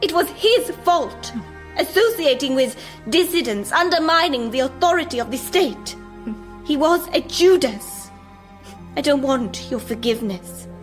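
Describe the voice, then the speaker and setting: A high-voiced young East German informer, earnest and rigidly dogmatic. She speaks as a loyal daughter of the state in a radio play. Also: lively intonation, unhurried, faint music behind. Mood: bitter, accusing. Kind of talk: radio drama acting.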